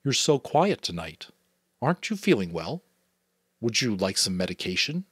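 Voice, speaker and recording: A man voicing a gentle, concerned father asking after his son. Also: lowish voice, very animated, normal speed, breathy edge, no echo, clean studio sound, full character voice.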